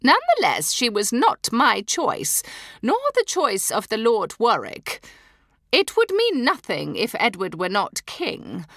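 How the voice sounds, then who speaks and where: A woman's voice: mid-range voice, sing-song, slow, sharp tone, dry recording, clean studio sound, heavily acted. A woman reading the part of a haughty, imperious old dowager duchess with a cold, cutting manner.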